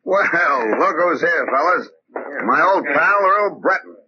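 An actor playing a glib, falsely genial American gangster, backslapping and slyly insinuating, in a 1940s radio crime drama. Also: male voice, fairly high pitch, animated, takes it slowly, sharp tone, husky, exaggerated voice. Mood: jovial. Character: glib, falsely genial male gangster hosting a card game. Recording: dull, muffled 1940s radio recording.

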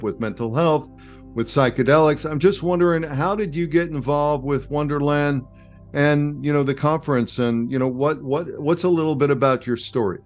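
A man's voice: on the high side, darkish tone. An older man hosting a podcast, reading a scripted intro and then chatting loosely with a guest, with some hesitations. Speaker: podcast host.

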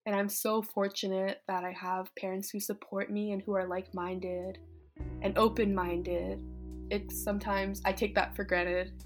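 A woman's voice: mid-range voice, level tone, unhurried, over music. Teen girl from vietnam, activist type, just talking honestly about her life, thoughtful, says like and you know a lot. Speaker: teenage climate activist. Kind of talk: casual personal reflection.

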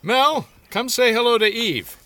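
A man's voice: extremely high pitch, animated, a little slow, sharp tone, no room sound, exaggerated voice. A pushy, genial landowner father. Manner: chummy, coaxing, pushy. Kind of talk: audio drama acting.

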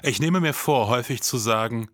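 A man's voice: medium pitch, fairly quick, fairly bright tone, no echo, studio quality. A man co-hosting an investigative podcast, moving between scripted dialogue and narration.

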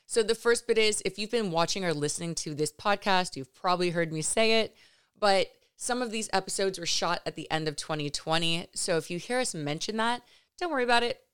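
Upbeat chatty lady hosting a podcast, low and really smooth, dry sound. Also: a little fast, a bit bright, dry recording. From California. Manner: upbeat, chatty, many restarts. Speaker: podcast host. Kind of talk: casual interview hosting.

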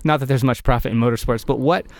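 A man's voice: medium pitch, fast talker, no room sound, studio quality. A man hosting a podcast, asking questions in a jokey, playful way. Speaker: podcast host. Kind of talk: casual podcast chat.

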